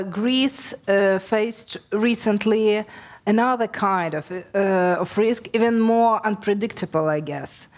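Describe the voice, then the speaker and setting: A woman moderates a panel discussion and puts a question to a guest. She speaks hesitantly, filling her pauses with many ums and uhs. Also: mid-range voice, darkish tone.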